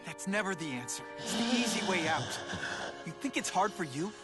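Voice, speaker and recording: An actor playing a quippy, earnest young American superhero in a video game cutscene. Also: male voice, high-pitched, big pitch swings, normal speed. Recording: music behind the voice.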